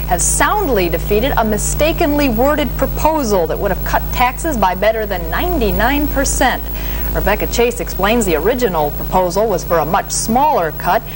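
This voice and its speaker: An American woman anchors a television newscast, reading from a script in a formal, measured way. Her voice sits at a medium pitch. The recording is an archival late-seventies broadcast tape with faint hum and noise. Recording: noisy archival 1970s broadcast tape, humming.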